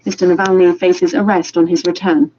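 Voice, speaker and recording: A woman reading a scripted news bulletin in a measured, formal way. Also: lowish voice, little pitch movement, warm tone, slight background noise. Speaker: newsreader. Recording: dull lo-fi radio broadcast.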